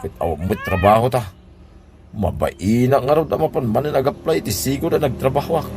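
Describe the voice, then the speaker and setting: An actor with an Ilocano accent playing a grumbling village husband in a radio comedy drama. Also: male voice, medium pitch, normal speed, background noise, close to an everyday voice.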